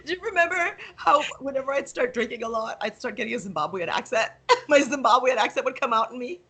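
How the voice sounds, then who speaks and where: Woman guest, husky and high, laughing anecdote-teller on a call. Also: expressive, fast talker, fairly bright tone. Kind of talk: casual chat with a friend.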